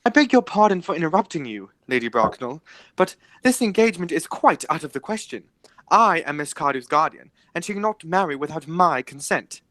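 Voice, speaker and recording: An actor playing a formal, dryly polite Victorian gentleman guardian in a radio comedy, speaking with measured emphasis. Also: mid-range voice, very animated, no room sound. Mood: firm, disapproving. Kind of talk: stage comedy as amateur radio play.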